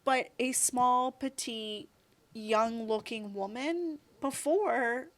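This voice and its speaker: A woman's voice: a little high, takes it slowly. A woman in her thirties, a guest in a casual interview, talking in a chatty, rambling way full of "like" and "you know". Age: 30s.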